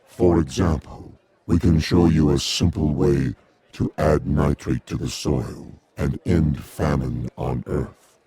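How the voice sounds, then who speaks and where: An actor playing a calm, courteous, inscrutable alien emissary in a radio drama, speaking formally in measured, deliberate phrases. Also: male voice, a bass voice, slightly rough, no echo, full character voice. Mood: assured, persuasive.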